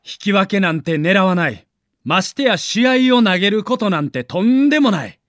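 A male storyteller narrates a scripted sports documentary with a Kansai accent. His voice sits high and swings widely in pitch, giving the telling a theatrical, dramatic shape. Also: sing-song, normal speed, fairly bright tone, no room sound, clean studio sound. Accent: Kansai Japanese.

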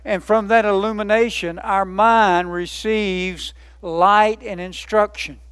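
A man's voice: sits high, clean tone, no room sound. A man preaching a sermon, emphatic, building lists by repetition and asking rhetorical questions.

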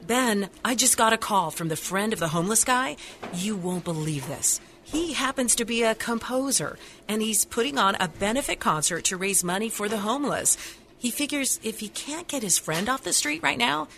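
A woman playing an eager newsroom colleague pitching a story, in a radio drama. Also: expressive, moderate pace, a bit bright, slight background noise.

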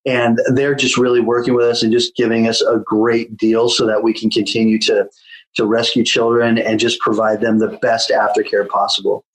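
A man's voice: mid-range voice, flat delivery, normal speed, poor audio. A man being interviewed, telling his story in a casual way with many fillers and restarts. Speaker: guest, children's home founder. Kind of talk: conversational interview.

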